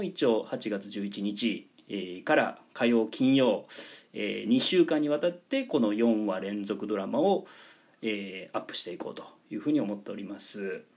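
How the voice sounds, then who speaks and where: A man's voice: fairly low pitch, lively intonation, brisk, darkish tone, slightly rough. A man presenting a project announcement in a polite, explanatory manner, stressing key words. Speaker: presenter and organiser of a radio drama project.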